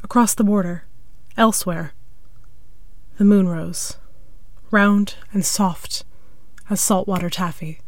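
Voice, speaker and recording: Woman, story narrator, scripted literary reading, mid-range pitch, very dry close recording. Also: steady tone, takes it slowly, pauses often, no room sound.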